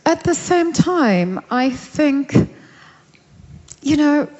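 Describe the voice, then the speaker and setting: Woman from sri lanka musing slowly on stage, lots of ums, echoey hall, muffled lo-fi sound. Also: sits high, very animated, darkish tone. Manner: thoughtful, hesitant, many fillers. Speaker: novelist, interviewee. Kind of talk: festival stage conversation. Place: large festival hall on stage. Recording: hall reverb, dull lo-fi sound.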